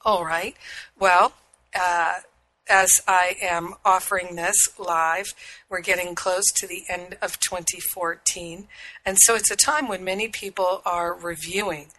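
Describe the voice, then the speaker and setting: Husky woman minister, soothing, a touch low, bright edge, faint hiss behind. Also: low-quality recording. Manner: slow, soothing and affirming. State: recovering from illness. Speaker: spiritual radio show host and minister. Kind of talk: prayer and spiritual teaching talk.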